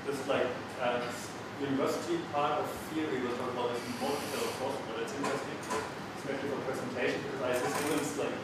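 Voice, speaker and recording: A man's voice: mid-range voice, steady tone, fast talker. A man hosting a casual group discussion, upbeat and joking as he leads the talk. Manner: upbeat and joking, laughs often. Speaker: host. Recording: echoey room, distant mic, loud noise.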